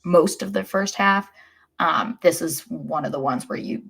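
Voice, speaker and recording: A woman's voice: lowish voice, a little slow, vocal fry, a bit bright, slightly rough. A woman co-hosting a podcast, giving casual sports analysis in a wry, blunt, critical way. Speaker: podcast co-host.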